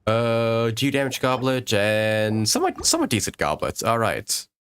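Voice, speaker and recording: A man's voice: medium pitch, very animated, normal speed, fairly bright tone, smooth. A man chatting casually while livestreaming a video game, hesitant and rambling as he thinks aloud. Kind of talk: casual gaming livestream chat. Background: video game music.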